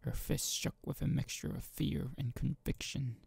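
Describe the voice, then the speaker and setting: A man giving a casual let's play of a visual novel, reading text aloud and rambling as he thinks out loud. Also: mid-range voice, level tone, moderate pace, gravelly, breathy edge, no echo. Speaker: gaming video host.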